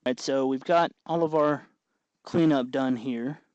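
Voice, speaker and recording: A man hosting a hobby tutorial, explaining each step in an informal, folksy, unhurried way. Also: mid-range voice, darkish tone, no room sound, dull audio. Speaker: host of a hobby electronics tutorial. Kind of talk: informal hands-on tutorial narration.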